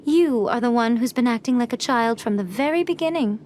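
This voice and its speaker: A woman's voice: a little high, expressive, fairly quick, a little mellow, a little hiss or hum, natural voice. A woman playing an elegant, worldly shrine priestess in a video game, poised, refined and playful. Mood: teasing. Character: sly, elegant, teasing shrine priestess, worldly and self-assured. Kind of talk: video game cutscene acting and narration. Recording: music behind the voice.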